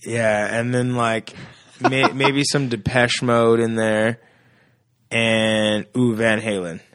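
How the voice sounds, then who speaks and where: A man in his thirties, a musician guest on a podcast, telling stories in a casual, animated way and acting out the voices of people he quotes. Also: mid-range voice, takes it slowly, smooth, no room sound. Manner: animated storyteller who acts out quoted voices. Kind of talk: casual chat.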